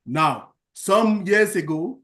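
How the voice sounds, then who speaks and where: Earnest guy from cameroon, lots of uhs, kinda high voice. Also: animated, a bit bright. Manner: earnest, repetitive, halting with fillers. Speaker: round table speaker. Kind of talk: impassioned testimonial speech.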